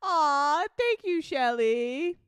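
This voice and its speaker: Casting: slangy, gushing show host, lively intonation, clean dry sound, casual and excitable delivery. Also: sits high, very slow, no room sound. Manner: effusive, slangy, prone to laughing. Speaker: host of a fiction podcast after-show.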